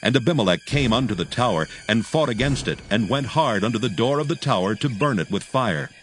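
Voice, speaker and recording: A man narrating scripture from a script, in a solemn, measured storytelling manner. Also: mid-range voice, lively intonation.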